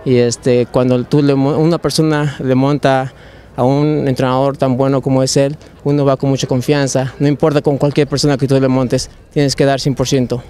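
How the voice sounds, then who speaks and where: A young adult man, a jockey, talks outdoors at a racetrack, repeating words and using fillers as he answers. His pitch is medium, with faint outdoor ambience behind him. A handheld mic is held up to him. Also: flat delivery, normal speed, warm tone, a little hiss or hum. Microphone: handheld mic held by interviewer.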